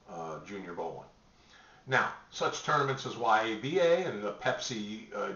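An older American man in his sixties presenting information to camera in a halting, measured, explanatory way. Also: fairly low pitch, fairly bright tone. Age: older, about 60s. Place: small home office, indoors. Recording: echoey, distant, muffled webcam sound.

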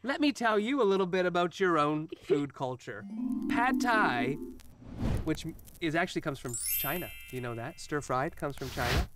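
A man's voice: fairly high pitch, expressive, moderate pace, over music. A man in his forties co-hosting a comic cooking show outdoors in a garden, teasing his partner and playing up his own exasperation, recorded on a lapel mic. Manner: teasing, exaggerated, playfully exasperated. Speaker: co-host, TV personality.

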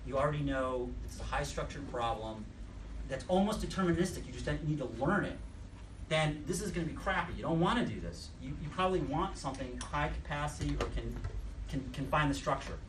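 A middle-aged man with a New York accent giving a blunt, joking talk to a conference audience, recorded in a room with a projector. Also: on the high side. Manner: blunt, joking, self-deprecating, gestures broadly. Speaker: speaker at a conference session. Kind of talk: casual live lecture. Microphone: camera at the back of the room, no mic. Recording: roomy, distant, noisy room recording.

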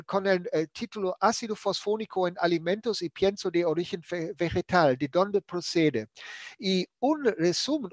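An older man with a cold, speaking Spanish as a second language, presenting in a webinar over a video call, halting with many fillers and restarts. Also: sits high, no echo, clean studio sound. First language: not Spanish. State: has a cold.

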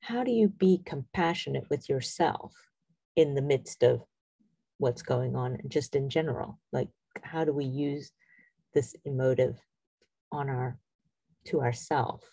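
A woman raised in Zambia, interviewing a guest over a video call from a small room, soft-spoken and attentive. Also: extremely low pitch, a little slow, slightly rough, no echo.

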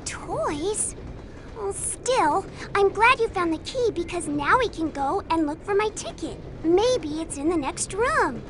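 Lady doing scared little kid in a game, very high plaintive voice, train noise behind. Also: lively intonation, slow, exaggerated voice. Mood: excited. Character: timid, cautious child passenger. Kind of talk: video game cutscene acting. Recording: noisy train ambience behind.

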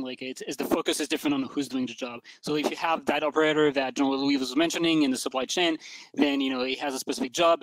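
Male expert panelist, mid-pitched, conversational and loosely phrased, dry headset sound. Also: a bit bright, no room sound, rough recording. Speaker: panelist, AI research executive. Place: dim indoor room, video call. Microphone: headset boom mic.

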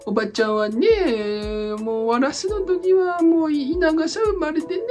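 A woman's voice: high voice, a little slow, music in the background, pitched above the performer's own voice. A woman voicing a chatty old auntie from the Tsugaru countryside who is secretly a cyber police investigator, speaking in thick Tsugaru dialect with a folksy, rambling storyteller manner. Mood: reminiscing. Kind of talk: comedic voice drama.

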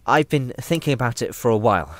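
A man voicing a kindly, fussy older gentleman hobbit with a hesitant, roundabout way of talking. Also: mid-range voice, very animated, quick pace, natural voice. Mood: earnest, hesitant.

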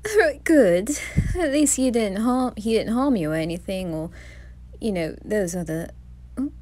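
A woman's voice: medium pitch, lively intonation, unhurried, a little mellow, a little hiss or hum, natural voice. A woman voicing a flamboyant, gushing male grim reaper with a flirty, sing-song delivery in an ASMR roleplay. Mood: gleeful fussing.

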